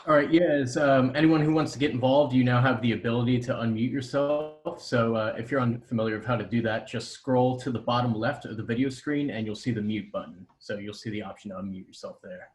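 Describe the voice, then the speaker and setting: A man's voice: mid-range voice, a little fast, few pauses, faint music behind. A man acting as technical host of a webinar, giving informal practical instructions over a video call.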